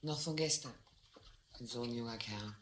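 A woman's voice: rumbling low, moderate pace, a crowd in the background. An actress playing a familiar, plain-spoken woman who tends an island bar in a radio play. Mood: friendly. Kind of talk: German radio play acting. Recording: roomy, very noisy, clattering bar effects.

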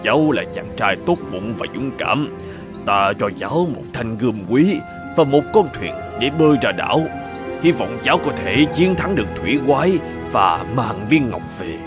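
A man acts out a grateful, gentle old man for a children's cartoon. His speech swings widely in pitch. Light music plays behind him. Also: big pitch swings, normal speed, lightly acted. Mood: solemn, kind. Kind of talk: children's cartoon fairy-tale dubbing.

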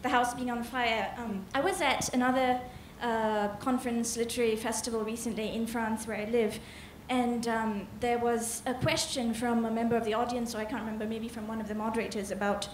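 A woman's voice: fairly high pitch, level tone, brisk, few pauses. A young woman from Malaysia speaking hesitantly into a handheld mic from the audience of a literary panel in a large hall. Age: young adult. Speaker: audience member asking a question. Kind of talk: spontaneous remarks at a literary panel. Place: large indoor conference hall. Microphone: handheld microphone. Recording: roomy, echoing auditorium with some noise.

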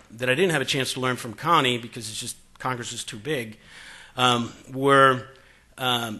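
A man's voice: mid-range voice, sharp tone. A man in his forties from Boston gives a talk at a conference podium, telling stories in a conversational way with many fillers and acting out quoted exchanges. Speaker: conference speaker. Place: conference room. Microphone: podium microphone.

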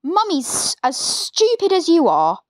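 Lady doing a mean tiny old grandma from a storybook, snaps at everyone, high swoopy voice. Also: wildly swooping pitch, slow pace, dry recording, heavily acted. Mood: scolding. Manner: snapping, scolding. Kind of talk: storybook read-aloud.

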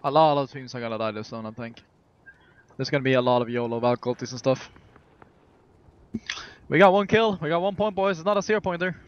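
A man's voice: medium pitch, a little fast, pauses often, smooth, muffled recording. A man playing as an esports team player, giving casual, urgent in-game callouts and often repeating short phrases. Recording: game voice chat over gunfire. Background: gunfire and game sounds.

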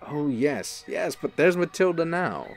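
Man playing a suave, creaky-voiced charming angel. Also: fairly high pitch, big pitch swings, measured pace. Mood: persuasive. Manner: smooth, playful, courtly. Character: suave, teasing man, an angel in human form. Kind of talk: radio-play style dramatic acting.

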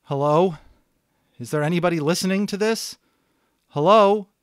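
A man voicing a panicked teenage boy stranded in a small plane, pleading and shouting frantically. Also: high voice, normal speed, clean tone, no room sound, clean studio sound, voice pushed up, lightly acted.

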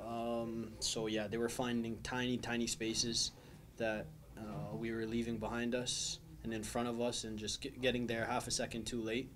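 Young man, flat even voice, post-match. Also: medium pitch, slight background noise. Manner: measured, thoughtful, many ums and restarts. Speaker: soccer player. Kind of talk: post-match press interview. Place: small indoor press room, backdrop wall.

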